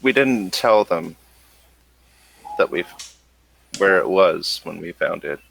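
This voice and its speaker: A man playing an anxious, shaken ship navigator in a tabletop role-play, speaking haltingly and stammering. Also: low-pitched, lively intonation, vocal fry, fairly bright tone, slight background noise, heavily acted, low-quality recording. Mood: guarded, uneasy. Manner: hesitant, stammering.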